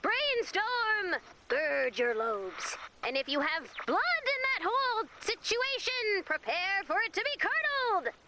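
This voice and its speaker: An actress playing a quirky, upbeat young team leader in a video game, chatty and animated with comedic delivery. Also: female voice, very high voice, slow, heavy background noise, full character voice. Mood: theatrical. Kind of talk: video game character voice acting.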